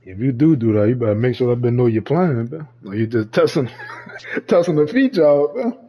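A man's voice: medium pitch, lively intonation, a little fast, mellow, a little hiss or hum. A man in casual chat, talking in heavy slang with lots of swearing and frequent laughter. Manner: slangy, profane, laughs often.

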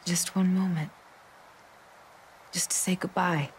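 An actress playing a tender, sorrowful young woman in a video game, speaking softly and intimately with a breathy voice. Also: fairly low pitch, steady tone, slow pace, slight background noise. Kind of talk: video game drama acting.

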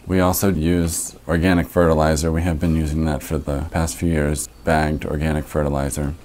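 A man's voice: a bass voice, little pitch movement, moderate pace, a little mellow. A young American man, a farmer, explaining soil preparation in a matter-of-fact, methodical way in a documentary interview recorded indoors on a lapel mic. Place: living room, indoors.

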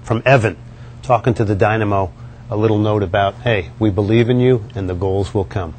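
A man's voice: on the low side, steady tone, a little fast, a little mellow, a little hiss or hum. A man, a sports TV host giving a chatty walking tour of a locker room on a lapel mic. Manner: upbeat, chatty, pointing things out as he walks. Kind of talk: walk-through tour presenting. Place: indoor locker room and hallways.

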